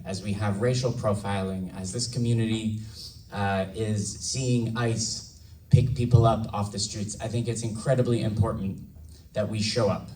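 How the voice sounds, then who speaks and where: A hoarse, slightly raspy man giving an earnest campaign speech at a candidate forum. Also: fairly low pitch, darkish tone, distant mic, slight background noise, low-quality recording. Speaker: congressional candidate. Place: large event hall.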